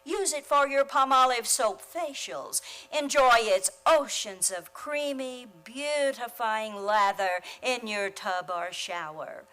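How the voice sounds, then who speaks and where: A woman delivers a 1940s-style radio advertisement. Her voice sits a little high and she takes her time, selling each phrase persuasively. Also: a bit bright. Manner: deliberate, persuasive sales delivery. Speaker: commercial announcer. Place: theater stage, radio play performance.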